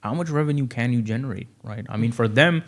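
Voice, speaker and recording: A man's voice: medium pitch, fast talker. A young man from Saudi Arabia, a real estate agent, talking casually on a podcast and filling his speech with "you know.". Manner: loose and conversational, many fillers like "you know".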